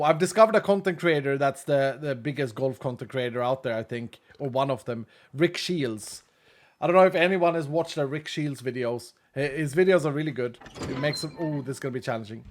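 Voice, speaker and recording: A man from Sweden with a Swedish accent, Swedish being his first language, chatting casually with viewers while gaming on a stream, joking about himself and trailing off mid-thought. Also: a little high. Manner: chatty, self-deprecating, laughs often, trails off mid-sentence.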